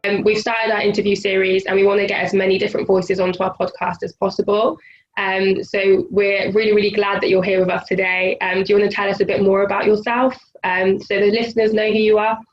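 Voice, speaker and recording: A woman's voice: mid-range voice, fairly quick. A young woman hosting a casual podcast interview, speaking with easy "um" fillers. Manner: relaxed with "um" fillers. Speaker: podcast host. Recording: dull lo-fi audio with faint hiss.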